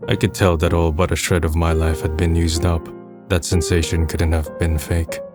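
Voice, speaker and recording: A man playing a brooding young man tells his story in a low, deep voice. He is subdued and introspective, like the melancholy lead of a dubbed manga drama. Music plays clearly behind him. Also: flat delivery, normal speed, natural voice. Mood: somber, introspective. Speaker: narrator and lead character. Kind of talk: first-person dramatic narration in an amateur manga dub.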